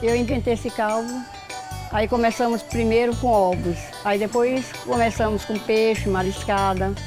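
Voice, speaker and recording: Matter-of-fact woman cook, slightly high voice, music underneath. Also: steady tone, normal speed. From Viana, Maranhão, Brazil. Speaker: cook and restaurant owner.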